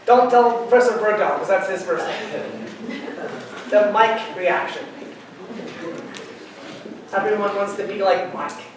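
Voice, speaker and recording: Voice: mid-range voice, unhurried, long pauses, noisy background. A university chemistry lecturer giving a classroom lecture, chatty and prone to joking asides. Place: large lecture hall. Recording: echoey lecture hall from far off.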